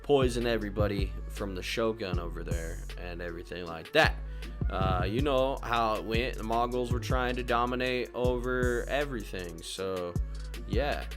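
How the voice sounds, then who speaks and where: A man's voice: fairly low pitch, normal speed. A man hosting a gaming channel with casual walkthrough commentary, brash, boastful and sweary in slangy phrasing. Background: music.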